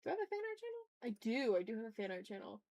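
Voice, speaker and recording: A woman's voice: on the high side, very animated, rapid-fire, warm tone. A woman hosting a casual drawing livestream, playfully chatting with viewers and thinking aloud as she works. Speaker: art livestream host.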